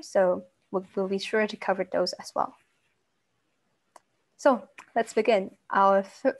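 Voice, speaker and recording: A teenage girl hosting a webinar on a video call, polite and partly reading from a script. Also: mid-range voice. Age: teens. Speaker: webinar host. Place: home room on a video call. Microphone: laptop mic on video call.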